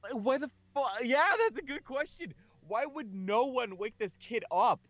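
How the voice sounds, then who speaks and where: A man's voice: very high voice, animated, normal speed, no room sound, dull audio. A man reading visual novel text aloud as a co-host of a casual gaming session.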